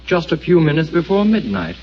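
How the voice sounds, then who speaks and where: An actor playing a well-mannered gentleman giving his account in an old radio drama. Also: on the high side, very animated, brisk. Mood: earnest. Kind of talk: 1940s radio acting. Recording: dull lo-fi radio with faint hiss.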